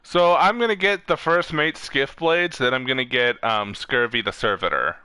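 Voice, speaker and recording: A man's voice: mid-range voice, normal speed, sharp tone, clean tone, dry recording. A man streaming a video game and chatting casually with a friend in a rambling, sweary way. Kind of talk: casual gaming chat with a friend over voice chat.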